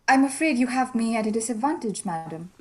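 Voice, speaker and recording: A woman's voice: on the high side, expressive, a little fast, a little mellow, natural voice. A woman playing a wistful, reserved young Indian woman far from home in a period radio mystery, polite and guarded.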